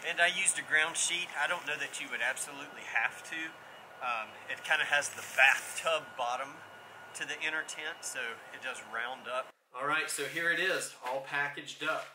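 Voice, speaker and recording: A man's voice: on the high side, moderate pace, background noise. An American man around forty giving a casual gear review in vlog style. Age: 30s to 40s.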